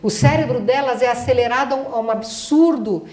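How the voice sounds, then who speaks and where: A woman's voice: on the high side, a little fast, a little mellow. A middle-aged woman giving a spiritual lecture as a doctor, talking emphatically and asking the audience rhetorical questions. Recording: echoey hall.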